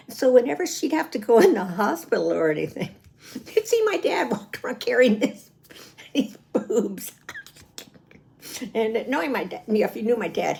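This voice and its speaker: A woman's voice: a little high, creaky, darkish tone. An American woman in her seventies talking casually in a personal video diary, chatty and rambling, prone to chuckling. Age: 70s. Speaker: vlogger.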